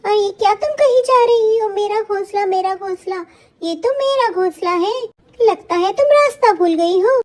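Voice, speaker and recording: A woman's voice: very high voice, moderate pace, a little mellow. A woman voicing a sly, greedy female bird in a children's cartoon, indignant and brazen in manner. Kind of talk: Hindi children's moral story cartoon dialogue.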